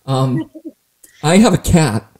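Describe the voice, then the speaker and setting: A man hosting an interview, joking and tossing in quick asides as he chats with his guest. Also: on the high side, big pitch swings, darkish tone, slightly rough. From Ireland. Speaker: podcast host.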